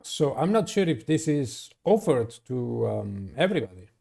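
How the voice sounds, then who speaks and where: A man doing a playthrough of a visual novel, reading it aloud and commenting in a deliberate, halting way. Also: medium pitch, very animated, vocal fry, a little mellow. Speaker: gamer playing and narrating a visual novel. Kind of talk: dramatic read-aloud with casual playthrough commentary.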